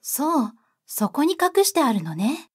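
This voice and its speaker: A woman playing a soft-spoken, brooding courtesan yokai with feminine speech, in a Japanese fantasy comedy voice drama. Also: sits high, very animated, unhurried. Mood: gentle, curious. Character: soft-spoken, gloomy, grudge-prone female long-haired courtesan yokai.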